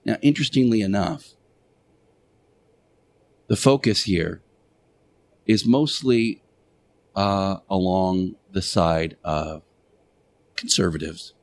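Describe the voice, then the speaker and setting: A man with a fairly low voice delivers a radio monologue in the manner of a preacher. He speaks deliberately in short, emphatic phrases, pausing between them and repeating key words for weight. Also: vocal fry. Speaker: radio show host playing Jesus in interactive radio theater.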